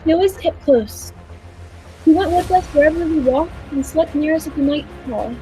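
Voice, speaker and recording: A woman's voice: high-pitched, moderate pace, dark tone, soft background music, natural voice, poor audio. A woman playing an earnest, thoughtful time traveller who narrates a radio play with dramatic storytelling. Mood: reflective, wistful.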